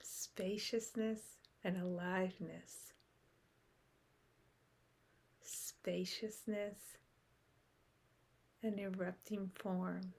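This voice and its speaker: A woman guiding a meditation in a soft, unhurried way with long pauses, her breathy voice often trailing off into a whisper. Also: medium pitch, level tone. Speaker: meditation guide. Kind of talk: guided meditation.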